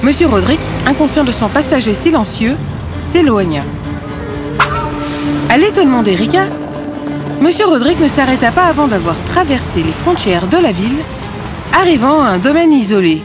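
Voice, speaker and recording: A woman narrates a children's fairy tale from a script. Her voice is high and expressively varied, with music clearly underneath. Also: very animated, moderate pace, long pauses.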